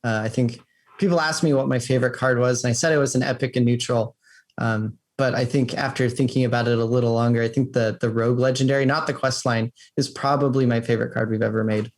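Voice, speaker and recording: A man's voice: medium pitch, fairly even intonation, fast. A man speaking casually as a game developer being interviewed.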